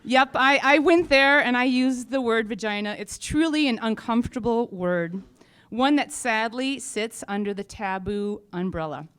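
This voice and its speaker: A woman's voice: a little high, moderate pace, hardly any pauses, fairly bright tone, smooth. A woman company founder giving an informal update on stage, speaking in a frank, humorous, chatty way. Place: on stage at a live event.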